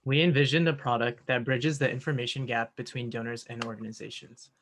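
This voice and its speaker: A young man from New York reads his team's project pitch from a prepared script. His voice sits at a middle pitch with plain, even delivery. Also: fairly quick, a little hiss or hum. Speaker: student presenter.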